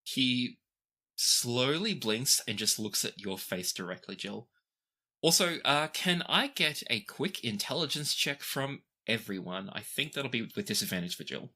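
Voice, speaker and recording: A man's voice: medium pitch, sing-song, a little slow, pushed and bright. A man running a tabletop role-playing game, narrating scenes to the players as game master in the second person.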